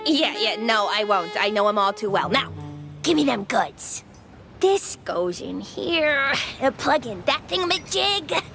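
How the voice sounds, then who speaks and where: A woman's voice: a little high, big pitch swings, unhurried, a bit bright, soft background music, full character voice. A woman voicing a no-nonsense tinkerer in a cartoon game, bossy and matter-of-fact. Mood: brisk, bossy.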